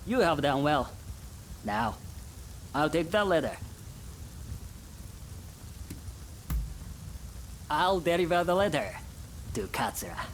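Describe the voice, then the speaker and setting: An actor playing a mercenary, cajoling man in a samurai-era video game, expressive and exasperated, with a grudging streak. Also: fairly high pitch, normal speed, slight background noise, poor audio. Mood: businesslike. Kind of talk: video game cutscene acting.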